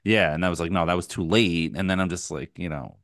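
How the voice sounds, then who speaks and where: A man in his late thirties, a podcast co-host, talking loosely and thinking out loud. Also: deep voice, brisk, no room sound, clean studio sound. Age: 30s. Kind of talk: casual podcast chat.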